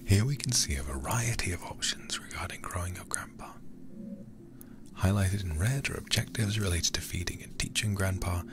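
Very deep, whispering man reading game text. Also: normal speed. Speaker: streamer. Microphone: close ASMR microphone.

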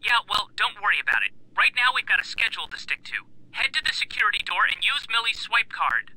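An actor voicing an excitable, nerdy male hacker who gives fussy, urgent heist instructions in a video game. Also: high voice, quick pace, no room sound, full character voice, poor audio. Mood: brisk, focused.

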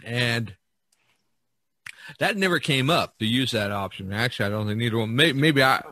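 Bright-voiced, chatty, rambling man. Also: medium pitch, expressive, normal speed, no room sound, clean studio sound. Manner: rambling, jokey. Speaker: podcast host. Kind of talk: casual, chatty discussion. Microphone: online video call.

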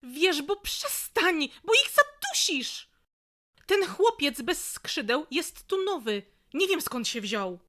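A woman playing a calm, protective stone statue of a goddess in an audio drama, speaking in a measured way. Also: sits high, full character voice. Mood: pleading, firm.

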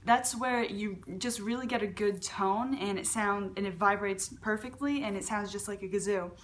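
A young woman with a fairly low voice for a woman, hosting a casual beatbox tutorial from her living room and talking to a webcam, with lots of fillers and restarts. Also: moderate pace, slight background noise. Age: young adult. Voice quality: lower voice for a woman. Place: large living room at home.

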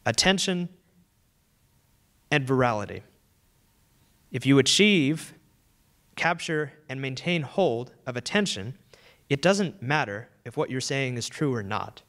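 A man's voice: mid-range voice, lively intonation, fairly bright tone. Young man giving a fluent keynote talk. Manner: fluent, measured, gestures while talking. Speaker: co-author and keynote speaker. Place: large auditorium stage, seated. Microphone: handheld microphone.

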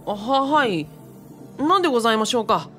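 A woman playing a polite, earnest and easily flustered young man in an audio drama, in an eager, expressive way. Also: a little high, a little fast. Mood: eager, confident. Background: background music.

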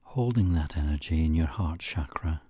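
A man guiding a meditation in a soothing, measured way, with pauses between short phrases and a soft, breathy voice that trails toward a whisper. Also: a bass voice, expressive, no echo. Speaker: meditation guide. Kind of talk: guided meditation narration.

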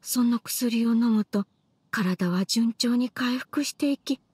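Gentle, frail grandmother: husky breathy voice, apologetic elderly phrasing, roomy echo, game dub. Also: fairly high pitch, slow pace, heavily acted. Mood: wistful recollection. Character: frail, gentle old grandmother with failing memory. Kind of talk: video game dub acting.

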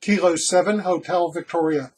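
A man in his sixties with a somewhat high voice works as a ham radio operator in a small home radio room. He speaks in clipped, deliberate phrases, calling signs and commenting briefly. Radio static and clicking are plainly audible around him.

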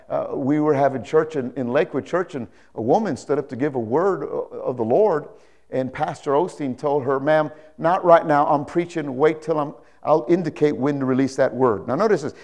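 A man's voice: on the high side, darkish tone. A man in his sixties preaching as a pastor, telling anecdotes emphatically, repeating phrases and checking that listeners agree. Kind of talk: animated sermon with anecdotes. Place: indoor church stage, speaking to a congregation. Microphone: lapel mic.

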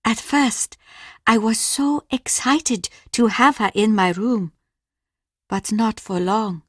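A woman's voice: a little high, dry recording, studio quality. A woman voicing a courteous, grieving older Japanese mother in a video game, speaking formally and carefully. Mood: haunted, sorrowful. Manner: formal, measured, careful delivery. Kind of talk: scripted game dialogue.

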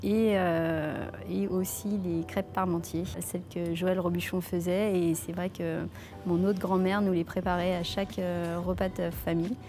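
A woman's voice: lowish voice, little pitch movement, moderate pace, background noise. A woman in her thirties from France, a head chef answering interview questions with many fillers and restarts, filmed in a leafy outdoor courtyard on a lapel mic. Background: soft background music.

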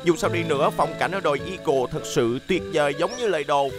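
A performer voicing a secretive, excitable vehicle in a children's cartoon dub, muttering expressively to itself. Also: medium pitch, a little fast, over music, lightly acted. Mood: wistful, pleased.

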